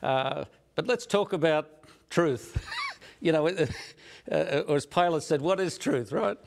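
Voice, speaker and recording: Middle-aged male host, fairly high pitch, measured delivery that hesitates and chuckles. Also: expressive, gravelly, a little hiss or hum. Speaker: host, magazine editor. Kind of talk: studio talk show discussion.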